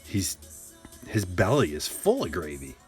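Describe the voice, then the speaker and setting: A very deep-voiced man from Michigan riffs playfully with his chat while opening packs of cards. He rambles and jokes in a loose, unscripted way, with faint music playing softly behind him. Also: wildly swooping pitch, a little slow. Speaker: card-break streamer.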